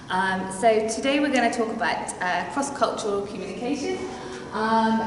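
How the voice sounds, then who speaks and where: Woman giving a parent talk, lots of ums, far from the mic in a big roomy hall. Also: medium pitch. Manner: hesitant, many filler sounds. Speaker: workshop presenter. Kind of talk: presenting a parent workshop. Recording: echoey hall, distant mic, faint noise.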